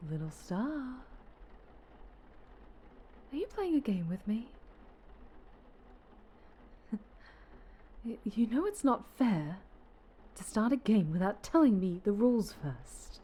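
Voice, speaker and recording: A woman playing a sinister, obsessive, possessive female superhero in an ASMR roleplay, halting and menacing, often dropping into whispers. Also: mid-range voice, sing-song, warm tone, husky, full character voice. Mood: coaxing, playful.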